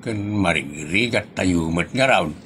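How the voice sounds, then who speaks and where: A man's voice: low voice, lively intonation, a little slow, a bit bright, a little hiss or hum, exaggerated voice. A man with an Ilocano accent playing a weary, grumbling husband and father in a comedy audio drama.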